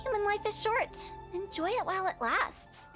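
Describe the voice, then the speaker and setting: A woman's voice: very high voice, normal speed, over music. A woman playing a quirky, mischievous young funeral parlor director in a video game, playful and teasing. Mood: breezy, frank.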